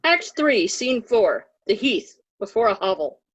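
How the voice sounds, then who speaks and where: A woman's voice: on the high side, very animated, measured pace, slightly rough, muffled recording. A woman giving scripted narration, reading out stage directions for a play.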